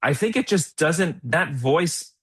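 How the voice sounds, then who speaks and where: A man's voice: on the high side, animated, slow, a bit bright, slightly rough, no room sound, studio quality. A man co-hosting a movie podcast, talking casually and thinking aloud in slangy, conversational phrases. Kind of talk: casual chat, film discussion.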